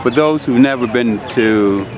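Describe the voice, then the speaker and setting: A man's voice: medium pitch, measured pace. A man interviewing people at an outdoor rally, asking short, prompting questions. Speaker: street interviewer. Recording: outdoor recording with loud crowd.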